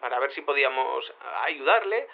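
A man's voice: mid-range voice, lively intonation, normal speed, gravelly. An actor playing a bumbling, chatty male detective on the telephone in an audio drama, hesitant and rambling. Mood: sheepish, cheerful. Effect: telephone filter. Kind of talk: audio drama phone call. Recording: thin, narrow phone line.

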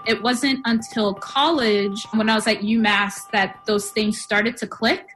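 A woman's voice: mid-range voice, steady tone, measured pace, a bit bright, low-quality recording. Young woman telling her story over music. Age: 20s. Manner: casual, reflective, many "like"s. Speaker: climate activist. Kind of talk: personal interview.

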